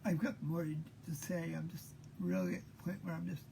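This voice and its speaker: Tired, gravelly fifty-year-old man. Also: high voice, level tone, noisy background. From Maine. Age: 50s. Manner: halting, full of pauses and fillers. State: tired, short of breath. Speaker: host. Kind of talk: unscripted monologue.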